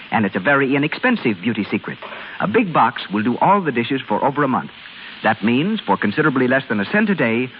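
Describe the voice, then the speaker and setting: A man's voice: mid-range voice, phone-quality sound. A man reading a scripted 1930s radio advertisement as commercial announcer, on an old hissy recording.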